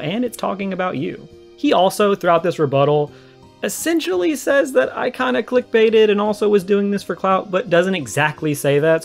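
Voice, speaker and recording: A man giving casual, conversational but argumentative commentary for a response video, leaning on key words. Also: fairly high pitch. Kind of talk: casual commentary to camera or mic. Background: background music.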